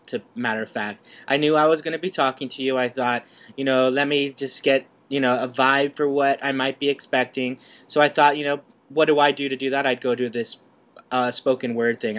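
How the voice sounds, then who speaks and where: Bright-voiced chatty male host. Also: mid-range voice. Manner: chatty, laughs easily, rambling questions. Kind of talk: casual interview chat.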